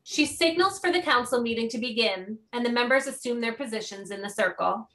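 Female narrator, stage directions, bright, fairly high voice, plain delivery. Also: lively intonation, fairly quick, rough recording. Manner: plain, declarative. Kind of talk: scripted narration of a play.

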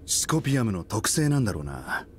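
An actor playing a brash, outspoken starship captain who talks bluntly and casually in a game cutscene. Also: male voice, on the low side, big pitch swings, a little fast, slight background noise. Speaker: ship captain.

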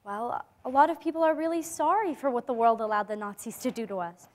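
A gentle teenage girl played by a young woman speaks in a high voice. She is earnest and hopeful, articulating each thought in a measured way, as in a dramatic stage reading. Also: lightly acted. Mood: earnest, thoughtful. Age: young adult. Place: theatre-like stage in a conference hall. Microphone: lapel.

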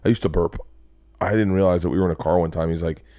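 Podcast host guy in his 40s, swears a lot, animated storytelling, dry close mic. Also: sits low, fast, no echo. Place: studio lounge with leather couches. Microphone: boom mic on arm.

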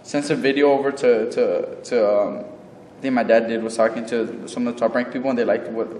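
A man's voice: mid-range voice, fairly even intonation, a little fast, a little mellow, a little hiss or hum. A man who recruits fighters for a boxing gym, talking casually and swearing now and then. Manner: laid-back, swears casually. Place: large boxing gym, indoors. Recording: echoey gym hall.

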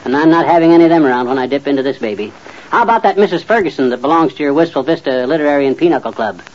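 An actor playing a blustery, folksy, know-it-all middle-aged husband in an old-time radio comedy. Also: male voice, a little high, lively intonation, racing, natural voice. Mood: grumbling. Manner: folksy, boastful, muttering and sputtering. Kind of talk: 1940s-50s radio comedy acting. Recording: muffled old radio recording.

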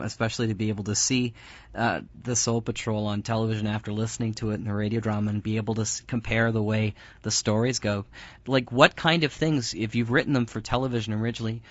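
A man's voice: fairly low pitch, no room sound, dull audio. A man asking questions as an interviewer in a conversational style.

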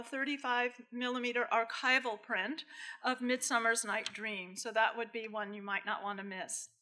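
A woman introducing a guest speaker to a cinema audience, hesitant with many pauses, at a lectern in a darkened cinema. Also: fairly high pitch, bright, forceful tone. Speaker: film programmer introducing a guest. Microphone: lectern microphone.

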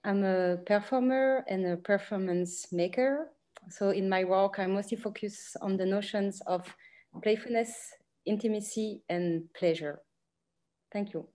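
A woman's voice: mid-range voice, measured pace, warm tone. A woman from Belgium introducing herself casually on a video call. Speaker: performer.

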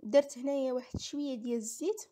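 Moroccan lady doing a casual cooking voiceover, high mellow voice, explains stuff step by step. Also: racing. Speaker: home cooking vlogger. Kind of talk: casual recipe voice-over.

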